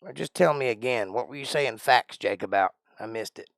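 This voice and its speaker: Streamer, man, 40s; gravelly, folksy rambler; dry close mic. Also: medium pitch, lively intonation, a little fast, dry recording. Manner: rambling, folksy, thinks aloud. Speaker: live stream host. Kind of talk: casual chat answering live chat.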